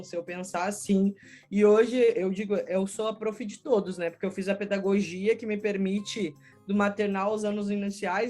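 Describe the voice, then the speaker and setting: A woman's voice: medium pitch, darkish tone. A woman with a southern Brazilian accent answering interview questions conversationally and explaining things, often adding tag questions. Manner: explanatory, frequent "né" tags. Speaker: schoolteacher, storyteller and researcher, interview guest.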